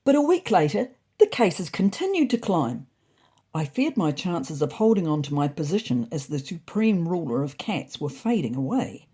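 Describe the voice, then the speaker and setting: Woman narrator, husky and mellow, expressive, indignant, scripted comic first-person storytelling. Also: lowish voice, normal speed.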